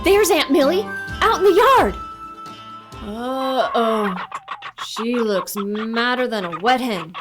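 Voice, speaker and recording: A woman's voice: on the high side, expressive, slow, music in the background. A woman playing an earnest, polite young country boy in a western radio play. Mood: uneasy.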